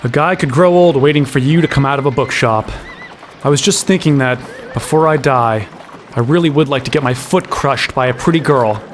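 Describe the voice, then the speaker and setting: A man's voice: mid-range voice, brisk, a little hiss or hum, close to an everyday voice. An actor playing a cocky, sarcastic young man in an audio drama. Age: young adult.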